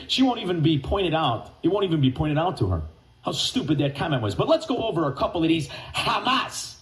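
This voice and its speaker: A man hosting talk radio, ranting and mocking with name-calling and sudden shouted bursts. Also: on the high side, roomy sound, poor audio. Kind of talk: angry talk radio rant.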